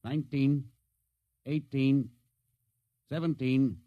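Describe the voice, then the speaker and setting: A man's voice: mid-range voice, fairly even intonation, takes it slowly, muffled recording, slight background noise, natural voice. An American man playing a stern, short-fused rocket ship captain in a clipped, commanding 1950s radio drama style. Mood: brisk command.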